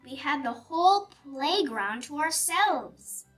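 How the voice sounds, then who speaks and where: A woman reading a picture book aloud for young children in an animated, deliberate storytelling manner. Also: high-pitched. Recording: distant mic with music behind. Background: music.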